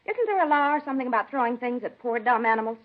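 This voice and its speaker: Husky, gossipy neighbor lady. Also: a little high, expressive, quick pace. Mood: indignant. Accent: American. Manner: chatty, indignant, rambling. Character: nosy, gossipy, indignant female neighbor who dotes on cats. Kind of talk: 1950s radio drama acting. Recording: dull 1950s radio broadcast recording.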